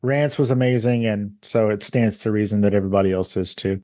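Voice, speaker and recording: A man hosting a podcast interview, asking curious questions and reacting quickly. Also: on the low side, dark tone, no room sound, studio quality. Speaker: podcast host. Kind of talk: interview chat.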